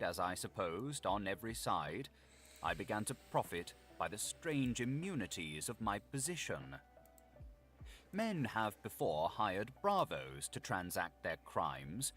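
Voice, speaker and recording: A man playing a grave, scholarly Victorian gentleman confessing his secret evil in a formal, theatrical audiobook narration. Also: fairly low pitch. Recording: loud vehicle and machinery noise behind. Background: vehicle and machinery noise from a video game.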